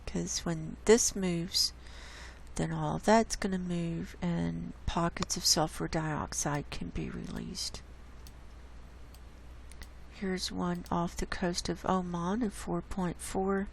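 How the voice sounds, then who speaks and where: A hoarse woman hosting her own channel, walking casually through earthquake maps on screen and pointing out each spot with an unhurried, gravelly delivery. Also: fairly low pitch, steady tone, a little hiss or hum. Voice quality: gravelly, coughs and clears throat. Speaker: online science channel host. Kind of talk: casual screen-share data report. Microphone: desk mic.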